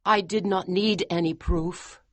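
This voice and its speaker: A man's voice: high voice, animated, unhurried, dry recording, pitched above the performer's own voice. A man voicing a devoted, resolute young wife in a raised, pleading storybook delivery. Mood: quiet.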